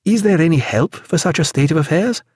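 A man's voice: fairly high pitch, expressive, brisk, darkish tone, breathy edge, no room sound, studio quality, voice pushed up. A man voicing an earnest, concerned ship's doctor in an audiobook, questioning anxiously. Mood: worried.

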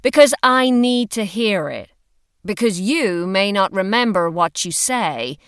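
A woman's voice: medium pitch, expressive, unhurried, fairly bright tone, clear, resonant tone, dry recording, clean studio sound. A woman voicing a brash, bossy but loyal female friend in an audiobook, blunt and commanding. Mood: bossy, insistent. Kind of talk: audiobook character dialogue.

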